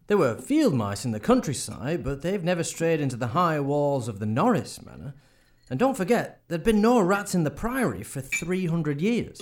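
A man's voice: a little high, very animated, normal speed. An actor playing a genial country neighbour in a radio drama, chatty and reasonable as he gives his account. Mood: matter-of-fact. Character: genial country neighbour, interested in picturesque local ghost lore.

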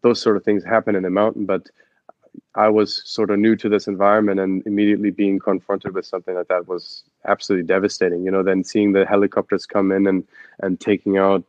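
A man's voice: deep voice, little pitch movement, fast, darkish tone, no room sound, muffled recording. A young man from Germany with a German accent, telling his story as an interview guest with many fillers and restarts. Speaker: guest, adventure photographer and expedition guide.

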